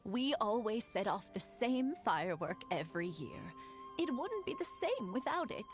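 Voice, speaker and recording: An actress playing a fond elderly wife in a video game, reflective and gently teasing. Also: female voice, medium pitch, big pitch swings, over music, close to an everyday voice. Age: older. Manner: unhurried, reflective.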